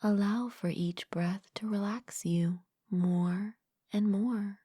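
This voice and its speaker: A woman leading a guided meditation as a mindfulness coach, speaking gently and calmly in a soft, breathy, partly whispered voice, close to the mic. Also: medium pitch, no echo. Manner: gentle, calm, unhurried with long pauses. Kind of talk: guided meditation, soothing scripted narration.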